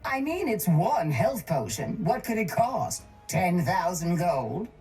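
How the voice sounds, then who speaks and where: An actress voicing a cold, calculating, wealthy woman crime baron in a video game, smug, measured and condescending. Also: lowish voice, big pitch swings, a little mellow, slightly rough, soft background music, full character voice. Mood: dry sarcasm.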